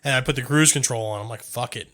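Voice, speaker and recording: A man's voice: mid-range voice, fast, bright, forceful tone. A young man co-hosting a podcast, chatting casually and joking with plenty of swearing. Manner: profane, joking banter. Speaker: podcast co-host. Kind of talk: casual chat.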